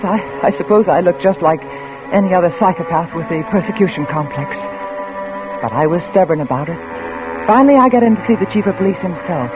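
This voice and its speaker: A woman's voice: sits low, natural voice. An actress playing an anxious, stubborn young woman investigator in a 1940s radio thriller, with a dramatic delivery that stammers when rattled. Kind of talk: 1940s radio thriller acting with first-person narration. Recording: tinny old radio recording with music.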